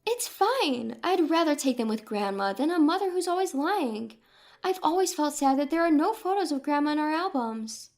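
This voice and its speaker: A woman's voice: sits high, sing-song, normal speed, darkish tone. A woman voicing an earnest, plaintive young granddaughter and bride-to-be in a narrated story. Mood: reassuring.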